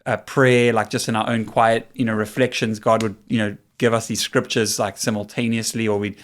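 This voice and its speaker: A man in his forties with a South African accent, a pastor telling his story as a guest in a casual, relaxed podcast chat. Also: on the low side, brisk. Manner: relaxed, storytelling, laughs easily. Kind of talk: casual conversational interview.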